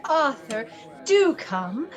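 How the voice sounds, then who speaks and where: A woman's voice: high voice, big pitch swings, very slow, crowd noise, exaggerated voice. A woman playing a playful, flirtatious Victorian society lady in an amateur radio play.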